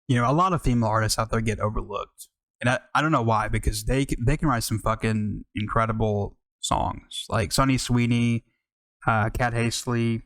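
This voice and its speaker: A man's voice: medium pitch, steady tone, moderate pace, a bit bright, dry recording. An American man hosting a music podcast, talking casually and enthusiastically in a rambling, unscripted way with some swearing.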